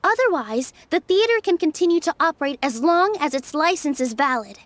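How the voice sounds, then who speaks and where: A woman's voice: high-pitched, expressive, brisk. A woman voicing a young female dancer who argues her case earnestly and firmly. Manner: earnest, firm in argument. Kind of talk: game voice acting.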